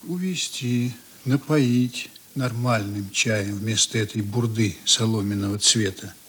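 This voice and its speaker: Wistful man in inner monologue, slow husky voice, intimate, tender, radio drama. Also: fairly low pitch. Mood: tender, longing. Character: tender, longing man in private thought.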